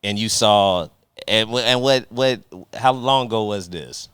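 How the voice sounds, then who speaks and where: A man's voice: fairly low pitch, measured pace, a bit bright, clear, resonant tone, no room sound, studio quality. A man hosting a podcast, chatting casually in slangy, conversational language and working his way toward each question. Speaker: podcast host. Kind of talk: casual interview with joking asides.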